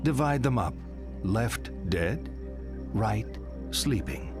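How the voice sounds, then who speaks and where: A man playing an older, morbid psychiatrist in a video game, deliberate, needling and theatrical. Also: lowish voice, expressive, creaky, music in the background, full character voice. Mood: brisk, condescending.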